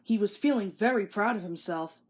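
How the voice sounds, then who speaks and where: A woman's voice: medium pitch, brisk, darkish tone. A woman giving a scripted read-along narration of a children's story.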